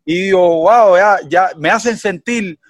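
Casting: young Cuban man, Cuban accent, animated guest, slightly high voice, dry close sound. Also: measured pace, no echo. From Cuba. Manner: animated, heavy fillers like "tú sabes" and "¿me entiendes?". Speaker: guest singer. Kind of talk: casual interview chat.

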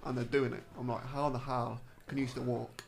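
A man in his late thirties with an English accent and a gravelly, creaky voice at a middling pitch. He chats informally as a guest, doubling up words to stress a point. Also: level tone, brisk, a little hiss or hum. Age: late 30s. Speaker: podcast guest. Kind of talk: casual chat.

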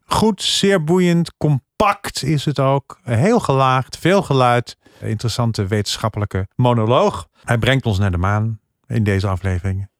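A man's voice: mid-range voice, unhurried, no echo. A man chatting casually as a podcast panelist, close to a studio microphone. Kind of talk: casual review chat.

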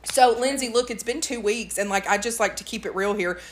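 A chatty woman, co-hosting a relaxed podcast. She talks freely and breaks into laughter often. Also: on the high side, fairly quick, slight background noise. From West Tennessee. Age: 30s. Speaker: podcast co-host. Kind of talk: casual chat.

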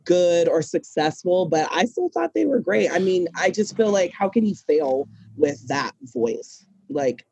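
Low-voiced woman, animated podcast guest. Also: measured pace, hardly any pauses, husky, poor audio. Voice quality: low speaking voice. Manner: animated, laughs often, emphatic. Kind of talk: casual chat.

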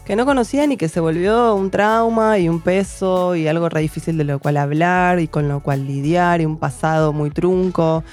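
A woman's voice: on the low side, normal speed, hardly any pauses, a little mellow, faint music behind. A woman co-hosting a book podcast, talking in a thoughtful, hesitant way with many restarts. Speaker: podcast co-host reviewing books. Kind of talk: casual book chat.

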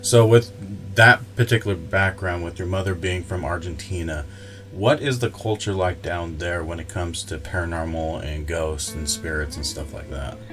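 A man hosting a podcast, introducing his guest and asking questions in a measured, emphatic way. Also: deep voice, a bit bright, faint music behind. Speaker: podcast host. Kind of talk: casual podcast interview.

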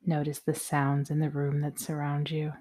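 A woman guiding a yoga nidra meditation in a soothing, measured, softly spoken way. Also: low voice, completely flat delivery. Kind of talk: guided meditation, slow instructions.

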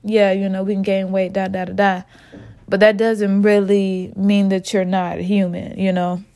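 A woman's voice: mid-range voice, flat delivery, unhurried, warm tone. A woman hosting a spiritual podcast, talking candidly and informally to her listeners while a little sick and sniffly. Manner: candid, informal, talks straight to listeners as y'all. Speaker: podcast host.

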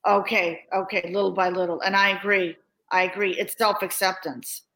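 A woman's voice: medium pitch, flat delivery, normal speed, low-quality recording. A woman hosting a podcast, affirming her guest and posing long questions with restarts. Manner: warm encouraging interviewer, affirming, with restarts when asking. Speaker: podcast host. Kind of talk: interview hosting.

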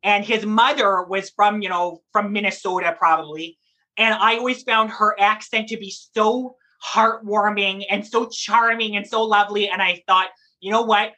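A drag performer giving conversational interview answers in an animated, explanatory, emphatic way. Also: mid-range voice, moderate pace, low-quality recording.

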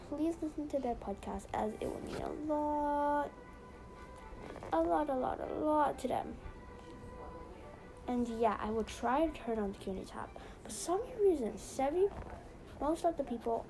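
A woman's voice: high-pitched, lively intonation, unhurried, long pauses, over music, poor audio. A young woman hosting a casual podcast, chatty and rambling with lots of "so yeah". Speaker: podcast host.

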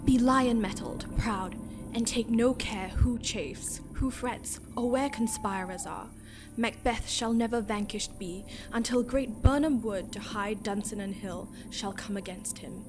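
Woman as high-voiced, commanding spirit. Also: a little slow, lightly acted. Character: conjured prophetic apparition, bold and commanding. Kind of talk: dramatic reading of Shakespeare. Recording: ominous music behind the voice.

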